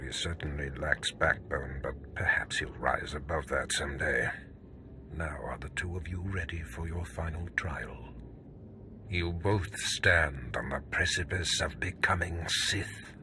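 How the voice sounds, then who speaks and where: An actor playing a stern, scheming Sith lord in a video game, speaking in a measured, imperious, menacing manner. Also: male voice, rumbling low, noisy background. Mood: coolly commanding. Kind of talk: scripted video game drama.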